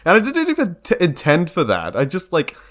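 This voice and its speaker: A man's voice: high-pitched, wildly swooping pitch, brisk, a bit bright. A young man giving casual, rambling behind-the-scenes commentary for a video, prone to giggling. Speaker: video creator and fan dub member.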